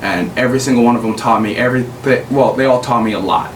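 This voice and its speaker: A man's voice: mid-range voice, quick pace. Young man chatting casually in an echoey room. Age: young adult. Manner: laid-back, rambling advice with many fillers. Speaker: podcast host. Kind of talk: casual chat. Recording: roomy sound from a distant mic with faint hiss.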